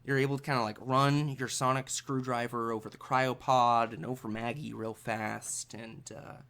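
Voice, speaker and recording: A man improvising narration as a tabletop game master, halting and suspenseful, with trailing pauses. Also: medium pitch, flat delivery, a bit bright.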